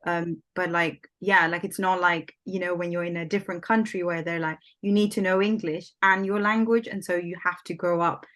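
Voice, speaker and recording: A woman with a lowish voice whose first language is Swahili, from Somalia. She chats loosely and fills her sentences with "like" and "um". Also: fairly even intonation, moderate pace, few pauses, a bit bright. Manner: chatty, many fillers like "like" and "um". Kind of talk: casual conversation.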